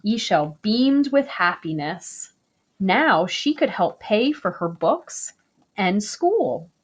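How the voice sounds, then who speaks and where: A woman reading a children's picture book aloud, slow, gentle and expressive. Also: mid-range voice, husky. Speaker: storybook reader.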